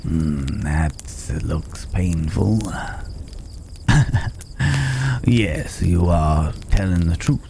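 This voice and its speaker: A man playing a calm, protective cowboy stranger in an ASMR roleplay, talking in an unhurried, folksy way with hesitant pauses and gentle teasing. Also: deep voice, creaky, noisy background, heavily acted. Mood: wry, teasing. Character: gruff but caring male cowboy stranger, calm and protective. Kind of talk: immersive ASMR roleplay acting. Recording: outdoor night ambience with chirping crickets.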